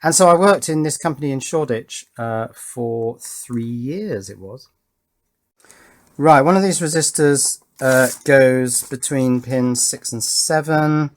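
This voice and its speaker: Chatty middle-aged Englishman, medium pitch. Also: takes it slowly. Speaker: electronics hobbyist video host. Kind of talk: casual chatty tutorial narration with reminiscence.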